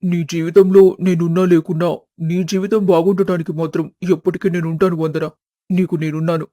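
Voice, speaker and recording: A devoted young friend from a family story, played by a man. He is calm and supportive, with a mellow, high, very even delivery. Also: a little fast, no room sound, studio quality, lightly acted. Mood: wistful. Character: kind, devoted young male friend, quietly lovelorn.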